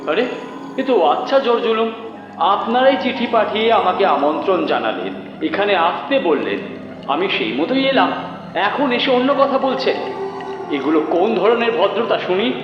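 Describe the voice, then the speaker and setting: A man's voice: sits high, big pitch swings, normal speed. A man playing a hesitant, insulted writer in a horror audio drama, protesting defensively. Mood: indignant. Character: insulted, hesitant male writer growing indignant. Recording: heavily reverberant dull hall sound, music behind.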